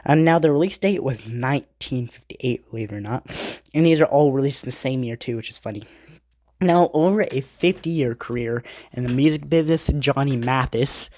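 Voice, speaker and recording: A man's voice: mid-range voice, darkish tone, dry recording, clean studio sound. Stuffy-nosed man rambling on a podcast. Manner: rambling, halting, with many asides and self-corrections. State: stuffy nose from a cold. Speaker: podcast host. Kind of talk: casual podcast monologue reading album facts.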